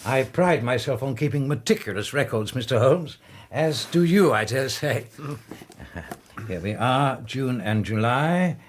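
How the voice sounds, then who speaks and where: Fussy English shopkeeper, middle-pitched, played by an actor. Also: male voice, expressive, measured pace, a little hiss or hum, lightly acted. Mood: smug, obliging. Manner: polite, precise, self-important. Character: fussy, proud, obliging Victorian English shopkeeper with meticulous records. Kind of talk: radio drama acting.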